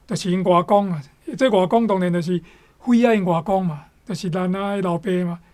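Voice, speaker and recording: A woman's voice: fairly low pitch, brisk, a little mellow. A woman with a Taiwanese accent giving spoken commentary on a story as a guest, emphatic and rephrasing as she goes.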